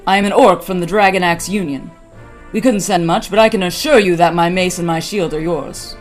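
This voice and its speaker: A woman's voice: lowish voice, brisk, faint music behind, lightly acted. An actress playing a steadfast orc warrior woman in a fantasy audio drama, formal and plain-spoken. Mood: formal, earnest. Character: steadfast female orc warrior with mace and shield.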